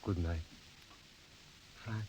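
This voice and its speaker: An actor playing an ardent, courtly European suitor in a 1930s radio drama, formal and pleading, on a dull vintage recording with some hiss. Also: male voice, extremely slow. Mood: ardent, tender.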